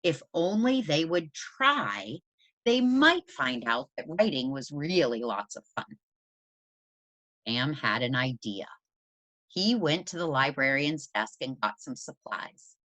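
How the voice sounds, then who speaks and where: A low-voiced woman reads a children's storybook aloud like a teacher. Her delivery is slow and deliberate, with lots of expressive rise and fall. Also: dry recording. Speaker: teacher reading aloud to students.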